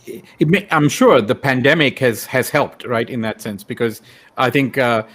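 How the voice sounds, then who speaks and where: Mid-pitched man from Sri Lanka, hosting. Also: normal speed, a bit bright. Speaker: show host, interviewer. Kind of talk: casual interview chat.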